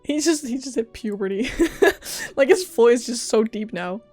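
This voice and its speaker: A woman's voice: fairly high pitch, sing-song, a little slow, darkish tone, faint music behind. A woman streamer casually reacting to a game as she plays, in a playful, joking way.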